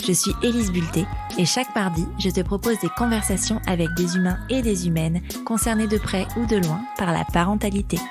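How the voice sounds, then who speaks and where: A woman's voice: medium pitch, a little fast, hardly any pauses, over music. A woman hosting a podcast, speaking informally and directly in an interview show style. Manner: informal, addresses listener and guest familiarly. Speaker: podcast host. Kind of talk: podcast introduction and conversational interview.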